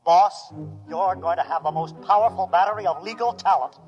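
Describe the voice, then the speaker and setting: An earnest, obliging robot voiced by a male actor in an old radio play. The voice sits a little high and rings with long reverb, as it speaks to its boss with modest, matter-of-fact deference. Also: fairly quick, a little mellow, highs cut off, faint music behind, natural voice. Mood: eager, confident. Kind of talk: 1950s radio drama acting.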